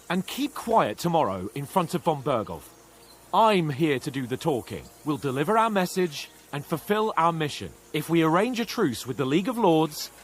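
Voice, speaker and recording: A man's voice: on the high side, breathy edge. An actor playing a proud, bossy young nobleman in a game cutscene, with a scolding, commanding way of talking. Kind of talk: video game cutscene acting.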